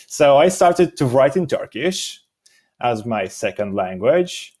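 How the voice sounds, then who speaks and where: A young adult man whose first language is Romanian, answering interview questions in a halting way while he searches for words. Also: medium pitch, very animated, a little mellow. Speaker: guest, SEO expert.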